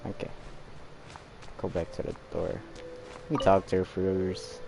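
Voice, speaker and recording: A man's voice: deep voice, level tone, measured pace, vocal fry, music in the background. A young man giving casual gameplay commentary on a stream, rambling hesitantly and often trailing off. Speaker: game live streamer.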